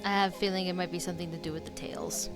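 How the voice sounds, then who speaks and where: A woman's voice: fairly low pitch, steady tone, very fast, music in the background. A woman giving casual reaction commentary as she streams a story game.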